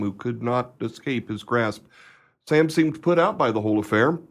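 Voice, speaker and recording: A man playing a formal, deferential footman who reports in measured phrases in a comic period radio play. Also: mid-range voice, natural voice.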